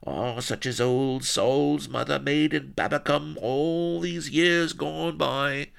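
Crazy old west country castaway gardener, high whiny rambly voice, rustic, creaky, audiobook style. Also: male voice, fairly even intonation, takes it slowly, exaggerated voice. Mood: whining, cringing. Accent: West Country English. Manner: rambling, whining, rustic dialect.

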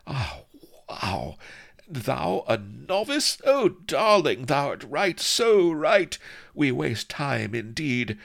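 A man voicing an imperious, seductive female pirate in an audiobook, with a breathy, husky murmur and mock-archaic, coquettish speech. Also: fairly low pitch, sing-song, slow pace, a bit bright, pitched above the performer's own voice, exaggerated voice. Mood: rapturous. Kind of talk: audiobook character voice.

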